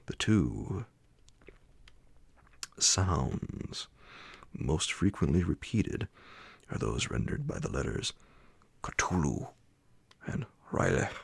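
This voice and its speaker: A man narrates horror fiction in a hushed ASMR bedtime style. His voice is extremely deep and full of gravelly fry, half-whispered and breathy. He takes his time, phrasing very slowly and deliberately, close to the microphone. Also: big pitch swings, no room sound. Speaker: narrator reading classic horror fiction aloud. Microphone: close, dry microphone.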